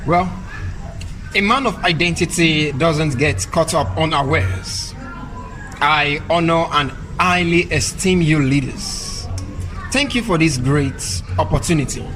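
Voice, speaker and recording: A man's voice: fairly high pitch, slow pace, a bit bright, faint music behind. A man with a Nigerian accent playing an upright, pious officer in a radio drama, speaking in earnest, defiant declarations. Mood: firm.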